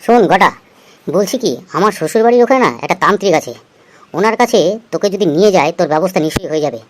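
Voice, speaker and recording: A man's voice: sits high, lively intonation, very fast. A man playing a chatty, teasing, thrifty husband in his thirties in an amateur comedy cartoon. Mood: encouraging. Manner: chatty, coaxing.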